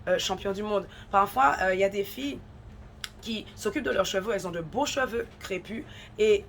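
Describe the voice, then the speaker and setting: A woman's voice: mid-range voice, normal speed. Woman host with a cold, earnest and exhortative, mid-range voice, faint background hum. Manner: earnest, exhortative, repeats for emphasis. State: has a head cold, runny nose. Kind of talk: devotional encouragement talk.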